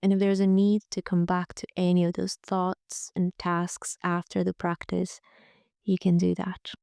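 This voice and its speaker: A woman leading a guided relaxation meditation in a gentle, soothing, unhurried way, with frequent pauses. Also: fairly low pitch, completely flat delivery, creaky, smooth, no room sound, clean studio sound. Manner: gentle, soothing, pausing often. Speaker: yoga nidra guide.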